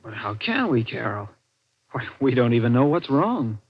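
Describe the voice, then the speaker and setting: An actor playing an easygoing, practical young husband in 1940s radio suspense, casual and agreeable. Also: male voice, mid-range voice, sing-song, moderate pace, no echo. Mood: puzzled, agreeable. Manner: casual, plain-spoken, agreeable. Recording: muffled, noisy old radio recording.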